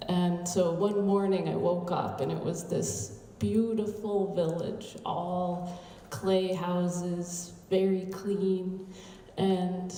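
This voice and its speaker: A woman with an American accent, in her late thirties, tells a story unhurriedly, with soft restarts. Her voice sits low and mellow. It carries from a distance through a reverberant hall, with faint background noise. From United States. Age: late 30s. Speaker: invited conference speaker. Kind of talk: formal speech at a conference. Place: large conference hall.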